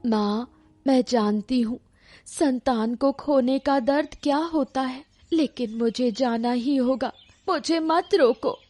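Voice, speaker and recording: A woman's voice: sits high, slow, a little mellow, voice pushed up. A woman voicing a hurt, determined daughter in an emotional cartoon story, with a reproachful and resolute manner. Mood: resolute, sad. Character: hurt, determined grown daughter leaving her village home.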